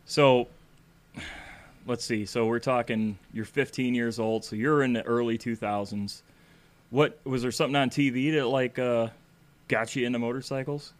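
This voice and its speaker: A man's voice: mid-range voice, steady tone, moderate pace. A man in his forties hosting a podcast in loose, casual interview chat, swearing freely. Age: 40s. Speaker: podcast host.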